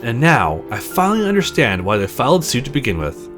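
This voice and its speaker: A man voicing a shrewd, quietly vengeful older lawyer in a visual novel, speaking formally and deliberately. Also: medium pitch, huge pitch swings, over music. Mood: measured, knowing.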